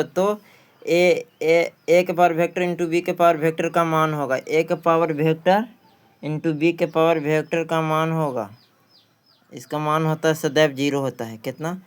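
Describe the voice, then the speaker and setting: A man's voice: on the high side, clean tone. A man with a Bihari accent teaching a maths practice lesson, repeating phrases and asking questions that he answers himself. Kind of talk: exam practice lesson, working through questions.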